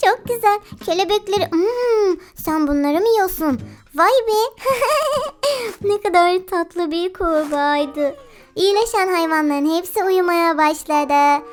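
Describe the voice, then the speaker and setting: A woman's voice: extremely high pitch, measured pace. A woman doing playful game commentary for children, cooing and exclaiming as she talks to animals and young viewers, often giggly. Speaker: children's game video host. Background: background music.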